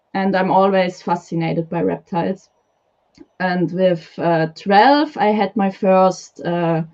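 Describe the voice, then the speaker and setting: A woman's voice: medium pitch, darkish tone, lo-fi sound. A woman from Switzerland with a Swiss German accent, a guest talking about keeping reptiles, hesitant with many fillers. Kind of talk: live stream interview. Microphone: video call.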